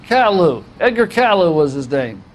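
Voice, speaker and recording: Talkative gun dealer for a game, notably high-pitched male voice, folksy, obliging. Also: big pitch swings, normal speed. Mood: helpful, chatty. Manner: folksy, casual. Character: chatty, helpful male gun shop owner. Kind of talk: video game cutscene acting.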